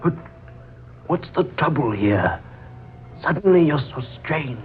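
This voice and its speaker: An actor playing a dazed, halting and indignant man who speaks as a long-dead father in a 1940s radio drama. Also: on the high side, animated, soft background music. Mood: confused. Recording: muffled, noisy 1940s radio recording.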